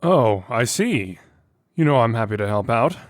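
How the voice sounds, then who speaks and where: Man playing a friendly helpful professor in an audio drama, medium pitch, very dry recording. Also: big pitch swings, moderate pace, no echo, close to an everyday voice. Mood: affable. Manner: polite, obliging. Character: genial, helpful male academic chemist. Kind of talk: audio horror drama acting.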